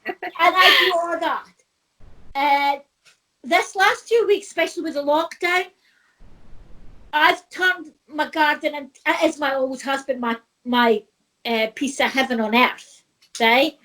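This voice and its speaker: A woman's voice: sits high, measured pace, long pauses, sharp tone, rough recording. A middle-aged woman, talking in a casual chat, chatty and laughing often, repeating herself. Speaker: co-host.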